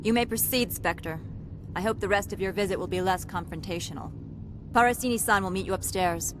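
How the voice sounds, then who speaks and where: A woman's voice: mid-range voice, a little fast, natural voice. A woman playing a stern, rule-bound security captain in a video game, curt and official. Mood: grudging. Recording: clear ambient noise behind.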